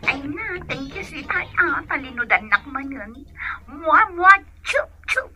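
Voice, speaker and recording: Coy cutesy young girlfriend in radio comedy, high lilting voice over music. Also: lively intonation, a little slow. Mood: sweet, gushing. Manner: coy, gushing, playful. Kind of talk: comedy radio drama acting.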